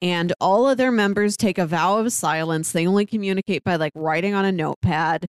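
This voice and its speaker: A woman's voice: fairly low pitch, fairly quick, smooth, no room sound. Woman co-hosting a podcast, dry close sound. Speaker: podcast co-host. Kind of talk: casual podcast chat.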